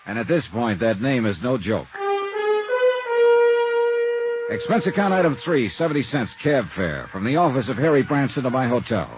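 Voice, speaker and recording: A man playing a brisk, hard-boiled American insurance investigator in 1950s radio style, clipped and wry as he narrates and talks. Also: medium pitch, very animated, natural voice. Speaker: lead actor and narrator of a radio detective series. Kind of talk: 1950s radio acting, scripted first-person narration. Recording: muffled vintage radio broadcast with music.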